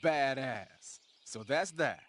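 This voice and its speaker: An actor playing an excitable, curious, easily impressed male comrade in a video game. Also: mid-range voice, slow pace, noisy background. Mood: excited, curious. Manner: eager, questioning.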